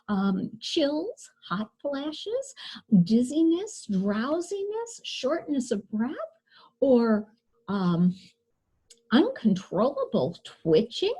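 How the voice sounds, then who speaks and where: A friendly, bumbling storybook doctor, voiced by a woman reading aloud, fusses over his patient. The delivery is high, slightly husky and very animated, with halting, deliberate phrasing. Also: heavily acted, poor audio. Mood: inquiring. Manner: exclaiming, listing symptoms haltingly.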